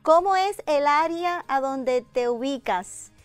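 High-voiced woman host, dry studio sound. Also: animated, slow pace, dry recording, soft background music. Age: middle-aged. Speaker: programme host. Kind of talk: conversational interview hosting. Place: indoor TV studio set with armchairs.

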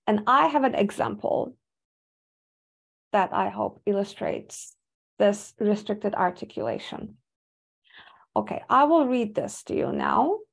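A woman's voice: a little high, creaky, a little mellow. A young woman, an English speaker, giving a webinar talk from slides over a video call, thoughtful with many fillers and restarts. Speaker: academic speaker. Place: home room indoors. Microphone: video call webcam.

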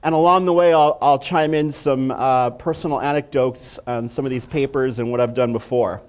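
A man's voice: medium pitch, fairly even intonation, normal speed, clear, resonant tone. A middle-aged man from Mexico, a physician giving a conference lecture on stage in a friendly, conversational way, asking the audience questions. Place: dark stage, indoors.

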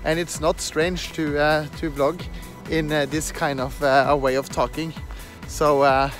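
A man in his thirties speaks English with a strong Norwegian accent, his voice sitting high for a man. He talks earnestly into a phone held at arm's length on a night street, stopping often for fillers and restarts, with faint music in the background. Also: flat delivery, measured pace. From Norway. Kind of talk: casual walking vlog. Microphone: phone camera held at arm's length.

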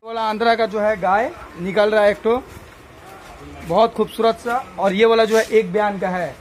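High-voiced man showing cattle. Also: normal speed, slight background noise. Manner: excited salesy patter, repeats himself. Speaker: cattle vlogger. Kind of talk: live walkthrough vlog. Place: crowded indoor cattle shed.

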